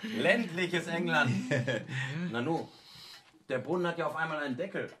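An actor playing a polite, eager young village constable in a German crime radio play, courteous and probing. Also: male voice, fairly high pitch, animated, moderate pace, a crowd in the background, lightly acted.

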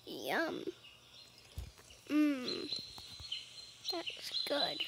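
High-pitched, slow-talking female gamer. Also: very animated, vocal fry, fairly bright tone, slight background noise. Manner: playful, unhurried musing. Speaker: gamer role-playing as a dragon. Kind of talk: casual gameplay narration with playful role-play. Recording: game music behind the voice.

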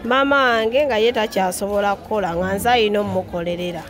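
A woman's voice: medium pitch, quick pace, over music. A young woman with a Ugandan accent, a market vendor giving casual interview answers at her stall. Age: young adult. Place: open-air market stall.

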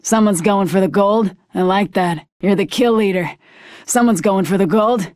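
A gung-ho female soldier from a video game, played by an actress. She sounds confident, with a medium-pitched voice recorded close and dry. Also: moderate pace, darkish tone, dry recording, lightly acted.

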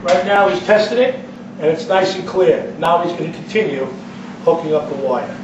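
High-voiced man teaching DIY in an echoey room. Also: expressive, moderate pace. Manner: plain-spoken step-by-step explaining. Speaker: plumber instructor. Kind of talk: hands-on DIY demonstration. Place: indoors in a home kitchen. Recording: roomy echo, distant mic, dull lo-fi, noisy.

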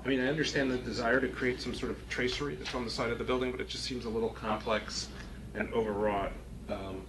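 A man speaking as a review board member, giving halting, hedged critique as he thinks aloud at a meeting. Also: medium pitch, fairly even intonation. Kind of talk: spontaneous critique at a public meeting. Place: small meeting room, indoors. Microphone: distant room camera. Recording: noisy meeting-room recording.

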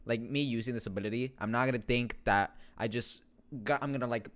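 A man's voice: mid-range voice, brisk, fairly bright tone. A young adult man giving casual, joking reaction commentary as a streamer, slangy, sweary and rambling, close on a microphone in a room at home. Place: small room indoors at home. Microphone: close boom-arm microphone with headset. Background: game trailer music.

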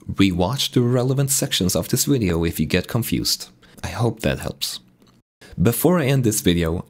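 A man with a raspy voice from being sick, calmly explaining code in a tutorial walkthrough. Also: low-pitched. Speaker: game dev tutorial host. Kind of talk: screen-recorded coding tutorial narration.

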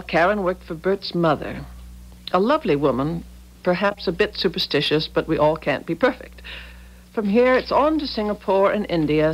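An actress playing a genteel, candid older wife in a 1970s radio drama, polite and refined. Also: female voice, fairly low pitch, rough recording.

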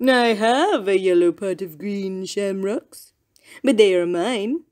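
A woman voicing a calm, polite male goat who speaks loudly and formally. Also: medium pitch, slow, natural voice.

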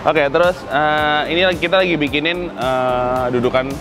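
A man's voice: on the high side, steady tone, normal speed, music in the background. A young man from Indonesia giving a casual, slangy walk-through of his custom workshop, laughing often. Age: young adult. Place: large indoor car workshop.

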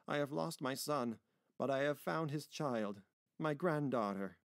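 Man voicing an earnest, emotional old gentleman. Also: medium pitch, lively intonation, measured pace, no room sound, studio quality, voice pushed up. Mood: overjoyed, moved. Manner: earnest, formal.

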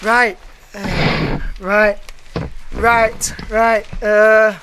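Man acting a slow, flustered college student. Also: high voice, little pitch movement, noisy background. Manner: stammering, stalling, repeating words. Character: flustered, hesitant young male college student. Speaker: actor. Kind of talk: radio play acting.

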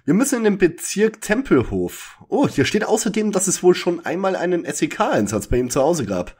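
A man's voice: sits high, very animated, normal speed, a little mellow, husky, exaggerated voice. A man playing a fussy, bookish young detective in a parody detective audio drama, with a pedantic manner. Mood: matter-of-fact.

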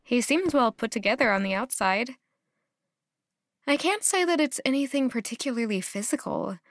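A woman's voice: on the high side, slight background noise. An actress playing a lovestruck, self-doubting young woman in an audio drama, speaking hesitantly and musingly. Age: young adult. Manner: hesitant, musing, questioning herself. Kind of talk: audio drama acting, introspective monologue.

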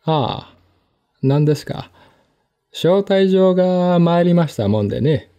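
Man playing a dark-voiced, oily, thuggish big man. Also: a little high, expressive, slow pace, clear, resonant tone, voice pushed up. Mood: brazen familiarity. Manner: smirking, falsely polite, teasing. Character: burly, thuggish lawyer man, oily and brazenly familiar. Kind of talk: one-man audio drama of a mystery novel.

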